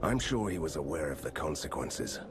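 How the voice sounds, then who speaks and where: An actor playing a wary, sardonic monster hunter in a video game, dry and deadpan in delivery. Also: male voice, extremely low pitch, normal speed, background noise. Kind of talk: video game cutscene acting. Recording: loud music under the voice.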